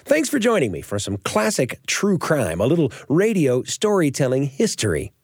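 A man hosting a radio storytelling show, narrating from a script in an animated way with dramatic emphasis. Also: on the high side, moderate pace, breathy edge, no room sound, studio quality.

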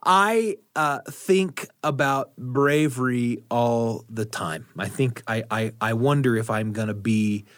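A man hosting a radio show and telling stories in a deliberate, scripted style with dramatic phrasing. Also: mid-range voice, a bit bright, dry recording, studio quality. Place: radio studio.

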